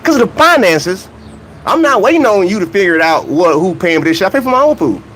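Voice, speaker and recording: A man's voice: sits high, very animated, quick pace, fairly bright tone, slight background noise. A young man rants in a casual, comedic way about track and field. He laughs between points and keeps asking rhetorical questions.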